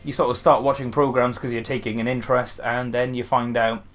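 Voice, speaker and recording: A man's voice: medium pitch, level tone, a little fast, a bit bright, soft background music. A young man from Wales with a light Welsh accent, chatting casually to camera as a gaming YouTuber answering questions, rambling with restarts and fillers.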